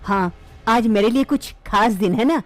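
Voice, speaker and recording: A woman's voice: a little high, normal speed, lightly acted. Woman doing a scheming young office guy. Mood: coaxing. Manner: sweet-talking, coaxing. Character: smooth-talking young male office worker, scheming.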